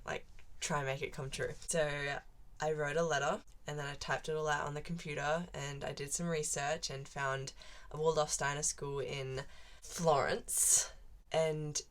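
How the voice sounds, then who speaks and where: A teenage Australian girl talks straight to camera in a chatty, meandering way, stringing thoughts together with lots of "like". Her voice sits low for a young woman. She sounds relaxed and unscripted, as in a first vlog. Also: unhurried. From Central Australia. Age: teens. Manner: chatty and rambling, many "like" fillers. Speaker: vlogger. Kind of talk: casual chat to camera.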